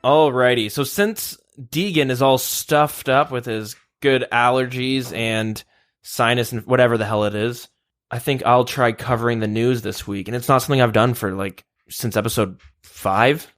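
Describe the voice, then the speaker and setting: Young male podcast host, relaxed, reads the news. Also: medium pitch, moderate pace. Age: young adult. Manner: steady, runs the show and leads topics. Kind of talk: casual chat, reading news. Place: shared room, two mics.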